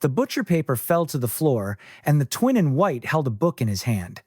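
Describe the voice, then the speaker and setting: A man reading scripted fiction as an audiobook narrator, in a measured storytelling manner. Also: mid-range voice, big pitch swings, darkish tone, no echo, studio quality.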